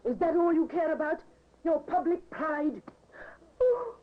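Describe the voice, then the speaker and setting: A woman's voice: very high-pitched, sing-song, unhurried, exaggerated voice. An actress playing an emotional, devoted-seeming young wife in her twenties in a 1950s radio drama, earnest and theatrical. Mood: distraught, pleading. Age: 20s. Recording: tinny, hissy old radio recording.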